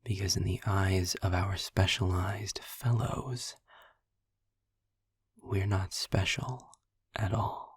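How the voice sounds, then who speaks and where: A man playing a sarcastic, world-weary demon in an intimate audio roleplay, speaking deliberately and wryly in a breathy, husky near-whisper. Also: low-pitched, fairly even intonation, no echo, clean studio sound, exaggerated voice. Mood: matter-of-fact. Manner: sardonic, deliberate, wordy. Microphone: close mic, dry.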